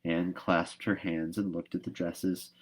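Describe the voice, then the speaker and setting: Male read-aloud host, fairly low voice, informal storybook narrator. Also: little pitch movement, measured pace, husky. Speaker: host reading a book aloud.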